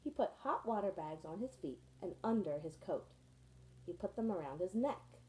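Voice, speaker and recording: A woman's voice: mid-range voice, expressive, moderate pace, warm tone, a little hiss or hum, poor audio. A woman teacher reading a children's picture book aloud to her students, storytelling expressively with friendly asides.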